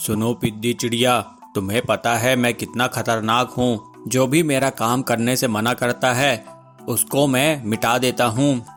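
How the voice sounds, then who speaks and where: A man's voice: medium pitch, flat delivery, a little slow, music in the background, voice pushed down, natural voice. A man voicing a boastful, menacing male bird villain in a cartoon, threatening in manner.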